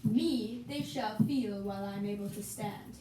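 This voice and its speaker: A young woman giving an amateur, theatrical reading of Shakespearean dialogue for a puppet show. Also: mid-range voice. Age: young adult. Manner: theatrical, reciting archaic dialogue slowly. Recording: echoey room, distant mic, hissy noise.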